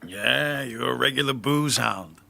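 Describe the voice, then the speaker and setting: A man's voice: medium pitch, unhurried, gravelly, sharp tone, full character voice. An actor playing a wry, folksy, kindly man in an audio drama, teasing and colloquial. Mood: amused, teasing. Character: wry, folksy caretaker, amused and kindly toward a drunk.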